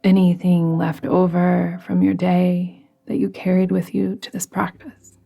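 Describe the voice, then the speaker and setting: A woman guides a meditation very slowly, in an even, barely varying tone. Her voice is soft and breathy, with a creaky edge as phrases settle. She instructs gently and soothingly. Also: fairly low pitch. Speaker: yoga nidra guide. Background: music.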